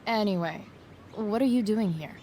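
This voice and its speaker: A woman's voice: mid-range voice, moderate pace, mellow, a little hiss or hum, close to an everyday voice. A woman playing a defensive, rebellious teenage girl with dry sarcasm in a video game cutscene. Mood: guarded, casual.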